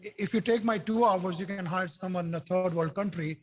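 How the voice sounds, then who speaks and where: Casting note high-pitched older man, Indian-French accent. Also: brisk, a little mellow. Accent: Indian and French blend. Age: 60s. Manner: enthusiastic, repeats "so basically", frequent restarts. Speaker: customer and software developer. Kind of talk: casual video call chat. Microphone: video call.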